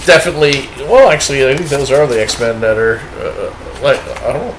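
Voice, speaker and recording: A man's voice: mid-range voice, lively intonation, normal speed, heavy background noise. A man with a Canadian accent chatting casually about his comic finds, rambling and upbeat, with many fillers. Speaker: comic book reviewer. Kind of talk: casual show-and-tell chat. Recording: echoey room.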